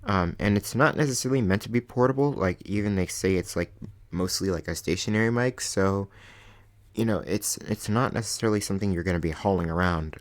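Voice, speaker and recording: A young man with a deep baritone voice, casually reviewing a microphone with plenty of ums and likes. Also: a little fast. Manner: rambling, lots of ums and likes. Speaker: channel host reviewing a microphone. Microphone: USB condenser desk mic.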